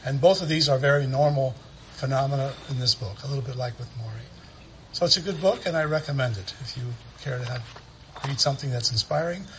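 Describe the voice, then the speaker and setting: Man, bright tone, thoughtful and unhurried, light outdoor hum. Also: mid-range voice, rough recording. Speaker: church member recommending a book. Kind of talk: casual personal sharing.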